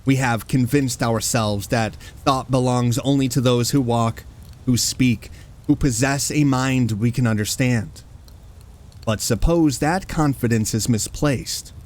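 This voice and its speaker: A man hosting a horror story show, delivering scripted narration in a measured, solemn and portentous manner. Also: mid-range voice, a little mellow. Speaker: host introducing horror radio plays.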